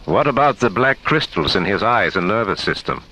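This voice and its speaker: A man playing a determined, authoritative research professor in a science-fiction radio drama, with firm, deliberate delivery. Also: on the low side, sing-song, bright, forceful tone, a little hiss or hum, heavily acted, low-quality recording. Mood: probing.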